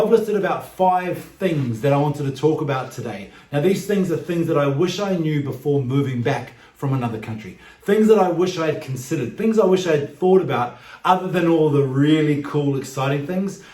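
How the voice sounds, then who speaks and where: A man's voice: a little high, expressive, moderate pace, darkish tone. A man in his forties with a New Zealand expat accent, talking emphatically and directly to his viewers as a vlog host. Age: 40s. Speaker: YouTube channel host. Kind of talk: direct-to-camera vlog talk. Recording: echoey, roomy sound.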